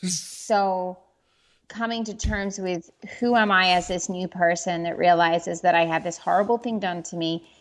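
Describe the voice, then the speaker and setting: A woman speaking as an interview guest, earnest and candid, halting at times with restarts as she reflects on personal experiences. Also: fairly low pitch, steady tone.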